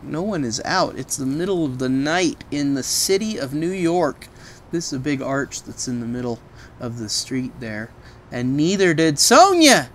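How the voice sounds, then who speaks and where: Slow, very animated picture-book storyteller. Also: medium pitch, a little hiss or hum. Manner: explains pictures simply, as to a small child. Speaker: read-aloud storyteller. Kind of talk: expressive picture-book reading with casual asides.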